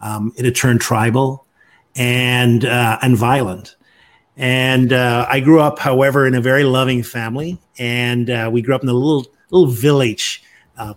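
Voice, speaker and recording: A man in his fifties tells his story as a podcast guest. He speaks at a measured pace, pausing often to gather his thoughts, in a mid-range voice. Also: medium pitch. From Northern Ireland. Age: 50s. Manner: measured, frequent "uh" pauses. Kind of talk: storytelling interview.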